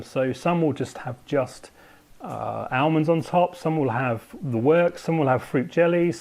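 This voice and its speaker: A man's voice: on the high side, a little mellow. A man, a home baker explaining his recipe to camera in a loose, thinking-aloud way with plenty of ums.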